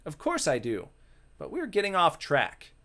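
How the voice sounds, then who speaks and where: A man's voice: fairly high pitch, huge pitch swings, a little slow, pushed and bright, pitched above the performer's own voice, full character voice. A man voicing an old-fashioned male ghost, flustered and lovesick, with theatrical old-timey phrasing, for a visual novel. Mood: boastful.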